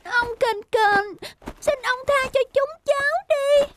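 A woman voicing a small, mischievous young squirrel in a cartoon, with a childish, excitable way of talking. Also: very high-pitched, takes it slowly, background noise. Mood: pleading, scared. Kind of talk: cartoon voice acting. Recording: faint music behind.

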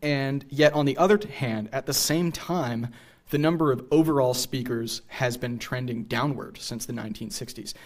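Young American man lecturing, medium pitch. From New England, USA. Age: young adult. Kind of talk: public lecture with casual asides. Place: theater stage. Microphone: podium microphone.

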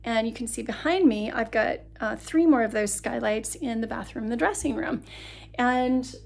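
A woman's voice: on the high side, normal speed, vocal fry. Chatty middle-aged woman explaining sleep tips. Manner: chatty, explanatory, with frequent ums. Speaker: vlogger. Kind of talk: casual informative vlog. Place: sunlit bedroom by a curtained window. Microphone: phone camera held at arm's length.

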